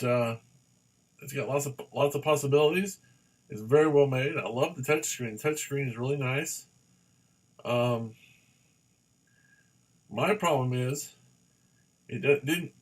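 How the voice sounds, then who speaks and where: Man giving a halting, casual gadget review. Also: a little high, poor audio. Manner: halting, rambling, repeats himself. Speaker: product reviewer.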